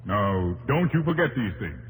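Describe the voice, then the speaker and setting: A man's voice: on the low side, very animated, moderate pace, long reverb tail, soft background music, low-quality recording. An actor playing an earnest, insistent male professor and inventor in 1950s radio drama, speaking in an emphatic, instructing way. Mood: stern, urging. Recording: 1950s radio broadcast, faint noise.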